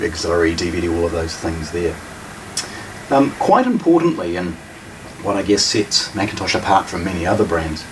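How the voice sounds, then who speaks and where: A man giving an informal, measured product demonstration as a shop presenter, explaining with appreciation. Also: sits low. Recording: distant mic with faint hiss.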